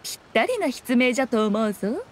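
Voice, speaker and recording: A woman's voice: on the high side, very animated, fast talker, a little hiss or hum. A woman voicing a sly, regal fox-spirit shrine maiden in an anime game, with archaic, haughty, teasing speech. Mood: smug.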